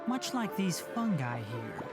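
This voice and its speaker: An actress playing a scholarly, composed young woman who studies mushrooms in a game, speaking in a measured, precise way. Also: fairly low pitch, big pitch swings. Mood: calm, studious. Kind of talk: game character trailer monologue. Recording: loud music behind the voice.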